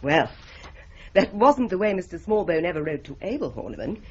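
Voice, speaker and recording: Office worker, husky, low, brisk, no-nonsense, dull audio. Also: female voice, big pitch swings, a little mellow. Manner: crisp, brisk.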